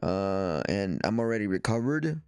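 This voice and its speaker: A man hosting a softball show, talking casually and reading news aloud, with a stuffy nose after the flu and a halting delivery full of fillers and restarts. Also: fairly low pitch, expressive, smooth, no echo, studio quality.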